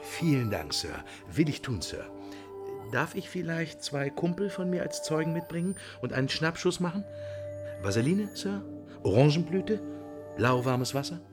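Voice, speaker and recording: A man's voice: a little high, big pitch swings, moderate pace, over music. An actor playing a meek, humiliated man in a German radio drama, obsequious and eager to please. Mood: fawning. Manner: obsequious, deferential.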